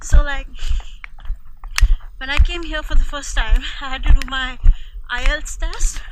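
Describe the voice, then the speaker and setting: A woman's voice: high-pitched, fairly even intonation, normal speed. A young woman from India, chatting casually as an interviewee and filling her sentences with "like". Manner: chatty, lots of "like" fillers. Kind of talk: casual conversation. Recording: loud background noise.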